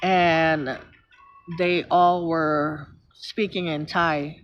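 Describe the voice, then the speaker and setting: A middle-aged woman with a Filipino accent, chatting outdoors on a phone during a live stream. She rambles through long stories and thanks viewers by name. Her audio sounds a little dull and muffled, with music playing clearly underneath. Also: low voice, fairly even intonation, very slow. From Philippines. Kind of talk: casual live-stream chat. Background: background music.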